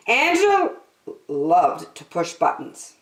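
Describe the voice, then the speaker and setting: A woman hosting story time, reading a children's book aloud in an expressive, deliberate, sing-song way. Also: lowish voice. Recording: echoey room.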